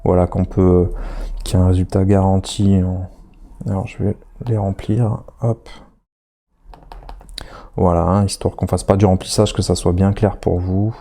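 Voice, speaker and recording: A man's voice: low voice, flat delivery, a little slow, long pauses, warm tone. A man with a head cold talking through an online course tutorial step by step, chatty and hesitant with many fillers. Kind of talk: screen-recorded tutorial walkthrough.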